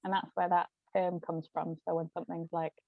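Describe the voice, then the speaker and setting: A woman's voice: fairly low pitch, little pitch movement, fairly quick, gravelly, no room sound, phone-quality sound. A woman, a guest author and actress, explaining terms in casual conversation.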